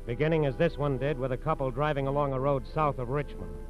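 An American man giving grave, measured scripted narration for a 1940s radio crime drama. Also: mid-range voice. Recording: dull vintage radio, music behind.

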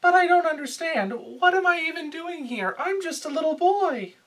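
A man's voice: very high voice, expressive, moderate pace, fairly bright tone, pitched above the performer's own voice. A man voicing a whiny, overwrought, self-doubting little boy in an anime parody. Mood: anxious, refusing. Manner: whining, pleading, overwrought.

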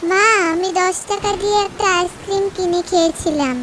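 Very high, pleading little cartoon girl. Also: a little fast, a little hiss or hum. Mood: timid. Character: small, pleading five-year-old fox girl.

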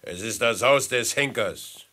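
Ein Schauspieler spielt in einem Hörspiel der 1950er einen strengen, finsteren Henker, knapp und abweisend. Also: male voice, mid-range voice, background noise, close to an everyday voice. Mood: stern, grim. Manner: slow, curt, forbidding. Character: stern, grim executioner. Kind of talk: 1950s German radio play acting. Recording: 1950s mono radio drama with music.

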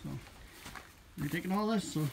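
A man's voice: high voice, moderate pace. Chatty man vlogging on a windy farm. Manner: friendly, chatty, thanks people warmly. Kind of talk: casual vlog narration. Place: outdoors on a farm. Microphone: handheld phone camera. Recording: loud wind noise on the phone mic. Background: wind, vehicles, rustling.

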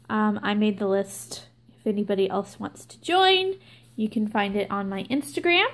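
A young woman hosting her own nail art channel, explaining a stamping tutorial slowly and with many ums. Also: on the high side. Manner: slow, filler-heavy explaining with many ums. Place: indoors at a table, hands filmed from above.

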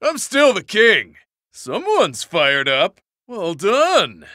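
Brash, bright-voiced male brawler. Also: sits high, huge pitch swings, slow pace, no room sound, studio quality, heavily acted. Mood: smug, pleased. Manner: brash, swaggering. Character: cocky, rowdy male brawler. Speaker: video game voice actor. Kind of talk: short battle voice clips.

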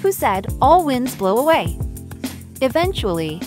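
A woman's voice: high-pitched, very animated, measured pace, darkish tone, soft background music. A woman hosting a quiz with scripted, upbeat narration. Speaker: quiz host.

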